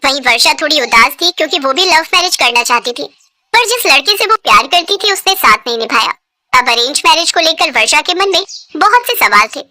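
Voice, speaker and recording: A woman's voice: sits high, expressive, brisk, pushed and bright, no room sound. A woman narrating a scripted cartoon moral story.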